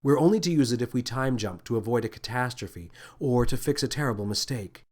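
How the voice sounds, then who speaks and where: A man voicing a gentle, wise female alien officer with a soft, soothing, measured delivery in an audio story. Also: medium pitch, natural voice. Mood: gentle, grateful. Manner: calm, measured, thoughtful.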